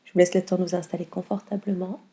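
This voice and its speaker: Low, mellow woman guiding meditation. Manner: calm, soothing, unhurried with long pauses. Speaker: yoga nidra guide. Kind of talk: slow guided meditation.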